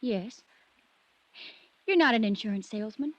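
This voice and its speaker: A defiant, headstrong young woman in vintage radio drama. Her voice sits a bit high. The aged recording sounds dull and muffled. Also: animated, moderate pace, no echo. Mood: cool, probing. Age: young adult. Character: defiant, headstrong young rich rancher's daughter. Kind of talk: old-time radio drama acting.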